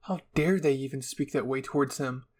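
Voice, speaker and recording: A man's voice: medium pitch, normal speed, a little mellow. A man reading an anime fan story aloud as a dramatic first-person narration. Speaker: fan fiction reader.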